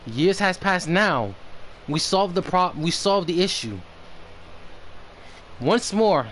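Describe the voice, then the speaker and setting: A man doing casual livestream commentary on a game, halting as he stumbles over names and repeats words. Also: fairly high pitch. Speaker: game streamer. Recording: slight background noise on stream.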